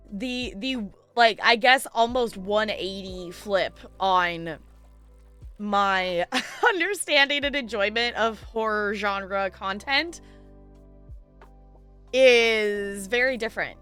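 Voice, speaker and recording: A woman's voice: medium pitch, slow, pauses often, bright, forceful tone, clear, resonant tone, soft background music. A woman chatting casually on a livestream in a playful, giggly, rambling way. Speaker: live game streamer chatting with viewers.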